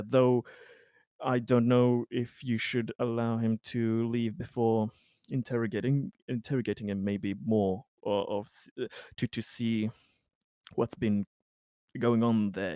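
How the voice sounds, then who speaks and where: A man playing a tabletop roleplaying game, improvising an advisory report in a hesitant, halting manner. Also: fairly low pitch, mellow, dry recording, studio quality.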